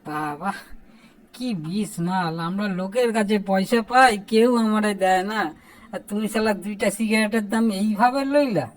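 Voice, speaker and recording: A woman's voice: medium pitch, normal speed, close to an everyday voice. A woman playing a cheeky, put-upon young lad in an amateur comic cartoon, indignant and complaining in an eastern Bengali accent.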